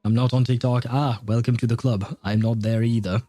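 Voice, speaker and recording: A man streams a game in a very soft, dark, mellow voice. He chats casually and hesitantly with his viewers, chuckling in asides, with faint music behind him. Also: medium pitch, steady tone, brisk, dry recording. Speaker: livestream gamer reading chat and game dialogue aloud. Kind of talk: casual livestream chat.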